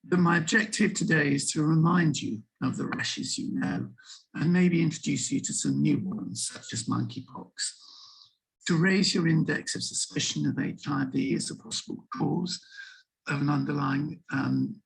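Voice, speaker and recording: An older man in his sixties speaks over a video call, his voice rough and gravelly, breathy and husky from a sore throat. He explains things in a measured, steady way, with frequent ums, like a physician teaching doctors, and his voice sits slightly higher than most men's. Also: low-quality recording. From England. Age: 60s. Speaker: guest lecturer, HIV physician. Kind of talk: online medical lecture. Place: home room with empty shelves and packing boxes.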